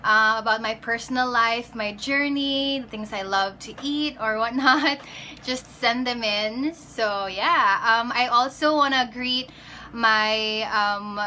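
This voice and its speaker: Bright-voiced Filipino woman chatting on a livestream. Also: fairly high pitch, slow, a little hiss or hum. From Philippines. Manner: chatty, greets viewers by name, mixes in Tagalog. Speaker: pageant candidate. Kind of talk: casual livestream chat.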